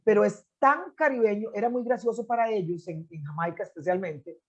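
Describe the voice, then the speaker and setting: A woman's voice: mid-range voice, brisk, breathy edge, highs cut off. A middle-aged Costa Rican woman, an anthropologist and poet, telling family history in an interview, with many restarts and "este" fillers. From Costa Rica. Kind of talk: conversational storytelling.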